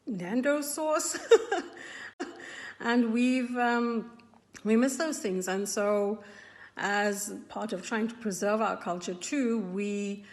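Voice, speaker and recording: A South African woman in her forties, sharing her own story thoughtfully, with frequent pauses and restarts. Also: mid-range voice, long reverb tail. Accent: South African English. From South Africa, KwaZulu-Natal. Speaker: interviewee. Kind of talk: personal account to camera.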